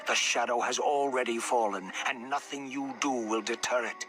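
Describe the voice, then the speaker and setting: A man's voice: mid-range voice, fairly even intonation, moderate pace, lightly acted. A man playing a mysterious, grave prophet in a video game cutscene, solemn and oratorical as he warns with urgency. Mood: grave warning. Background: loud music.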